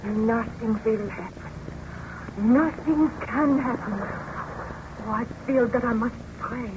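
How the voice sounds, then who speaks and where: An actress playing an anxious, pious fiancée, earnest and melodramatic in an old radio serial. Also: female voice, fairly high pitch, slow. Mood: fearful. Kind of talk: 1930s-40s radio serial acting. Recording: muffled, noisy old radio recording.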